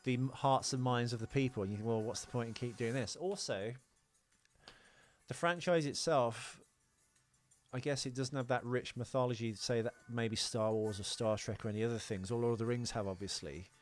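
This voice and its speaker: A man's voice: medium pitch, moderate pace. A man co-hosting a podcast, chatting casually about film trivia in a bantering, teasing way. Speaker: podcast co-host.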